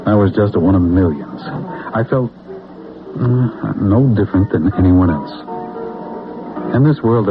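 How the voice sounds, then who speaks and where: A muffled old radio drama with music plays, and in it an intense American political zealot with a low voice. He is sure of his destiny and presses his case with grandiose, persuasive weight and deliberate pauses. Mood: intense, confiding.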